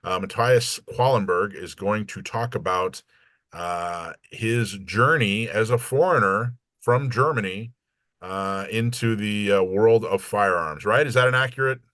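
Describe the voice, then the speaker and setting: Man, lowish pitch, event host, informal introduction, dry sound. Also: measured pace, fairly bright tone, no echo. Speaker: host introducing a guest speaker.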